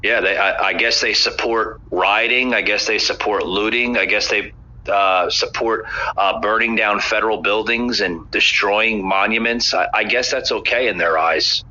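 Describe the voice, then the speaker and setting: A man with a low, forceful and sharp voice hosts a casual political podcast. He halts, repeats himself and fills gaps with "uh". The recording is muffled and lo-fi. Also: normal speed. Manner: hesitant, repeats words, many "uh"s. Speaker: podcast host.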